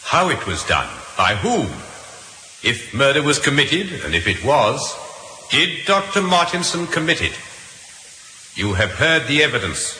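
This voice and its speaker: A stern male Victorian judge declaims in a radio play with a piercingly forceful voice and dramatic swings of pitch. He is formal and solemn. The old recording is very echoey. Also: big pitch swings, measured pace, slight background noise, heavily acted. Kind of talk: 1950s radio drama acting.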